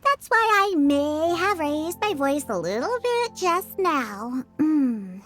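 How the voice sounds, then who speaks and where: A woman voicing a proud, prickly young girl in a video game, haughty and grudging but secretly soft-hearted. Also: high voice, sing-song, takes it slowly, soft background music, full character voice.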